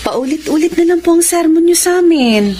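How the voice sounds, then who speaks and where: A woman's voice: extremely high pitch, lively intonation, fairly quick, dark tone. A woman playing a middle-aged mother who coaxes and defends herself in a radio drama. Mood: defensive. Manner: coaxing, defensive. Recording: faint background noise.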